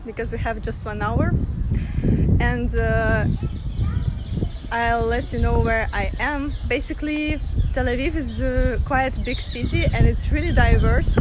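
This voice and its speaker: A young woman from Russia leading a live-streamed walking tour outdoors, talking loosely and laughing often. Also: a little high. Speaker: tour guide. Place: sunny city streets outdoors. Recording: phone audio with street noise.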